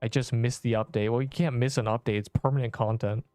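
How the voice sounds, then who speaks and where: A man's voice: mid-range voice, fairly even intonation, quick pace, dark tone, no echo, faint music behind. A young man from Canada with a subtle Canadian accent, chatting casually and rambling while streaming a game. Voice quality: rambling, self-interrupting chatter. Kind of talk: casual live-stream chat while gaming.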